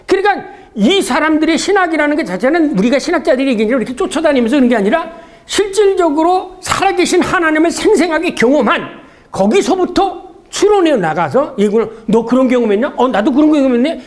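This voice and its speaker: A man giving a theology seminar lecture in a conversational, didactic way, often asking rhetorical questions and answering them himself. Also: very high-pitched.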